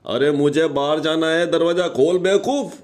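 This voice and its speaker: A man's voice: fairly high pitch, moderate pace, lightly acted. An actor voicing a greedy middle-aged male moneylender in a cartoon, blustering and scheming. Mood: frantic. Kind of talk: cartoon voice acting.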